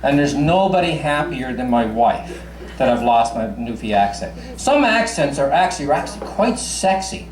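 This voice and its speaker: A man's voice: medium pitch, very animated, normal speed. A man in his fifties from Newfoundland with a faint Newfoundland accent, doing crude, chatty stand-up in a bar and swearing freely. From Newfoundland, Canada. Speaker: stand-up comedian. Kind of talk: stand-up comedy. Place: bar stage. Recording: echoey bar with crowd.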